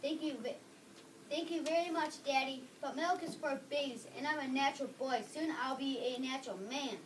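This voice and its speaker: A woman's voice: sits high, fairly even intonation, moderate pace, natural voice. A woman reading the part of a polite, bragging folk-hero boy in a plain, earnest script reading. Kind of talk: reader's theater script reading. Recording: distant mic with clear background noise.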